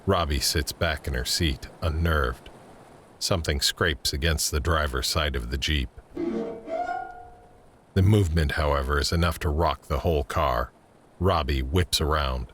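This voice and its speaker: A man with an extremely low voice narrates an audio drama. He reads scripted scene headings and action as a storyteller setting each moment. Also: measured pace, fairly bright tone, slight background noise.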